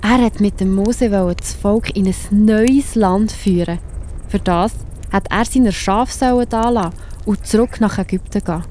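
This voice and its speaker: A woman's voice: medium pitch, a little slow, dark tone, a little hiss or hum. A woman with a Swiss accent narrating a children's story in a chatty way, speaking directly to her listeners.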